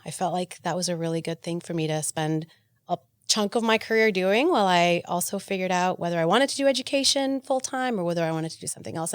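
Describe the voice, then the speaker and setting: A woman's voice: on the low side, dry recording. A woman in her forties who grew up in Argentina with Spanish as her first language, answering interview questions in a friendly, reflective way and often restarting her sentences. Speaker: podcast guest, HR executive.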